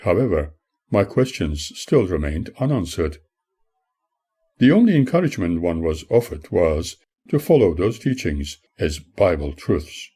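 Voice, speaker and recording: An older man, an author, reads his own memoir as scripted audiobook narration in a formal, measured manner. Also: sits low, sing-song, mellow, slightly rough.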